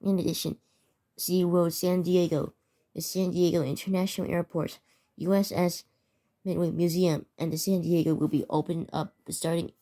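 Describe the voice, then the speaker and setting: Woman w a soft dark lowish voice doing homemade news alert narration, kinda stumbles and repeats words. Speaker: narrator of a fictional emergency alert scenario. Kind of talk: amateur scripted news-bulletin narration.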